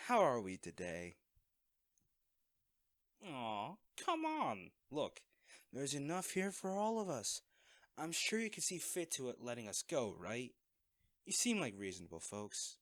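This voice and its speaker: A man playing a cocky, wisecracking treasure hunter in an audio roleplay, with a wry, flippant, conversational delivery. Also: a little high. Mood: sardonic, wary. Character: cocky, wisecracking male treasure hunter trekking through jungle ruins. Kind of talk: dramatic audio roleplay addressed to a companion.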